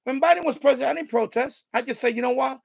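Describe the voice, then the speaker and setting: Man, 50s, Bronx accent, animated live-stream chat, gravelly, fairly high voice. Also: quick pace. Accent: Bronx New York. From born in Puerto Rico, raised in the Bronx. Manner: animated, high intensity, worked up. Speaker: radio hobby live streamer.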